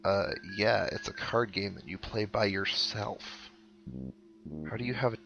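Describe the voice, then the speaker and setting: A man's voice: fairly low pitch, steady tone, a little slow, gravelly, pushed and bright. A man giving casual, wry let's play commentary with sarcastic asides. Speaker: gaming video host. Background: game music.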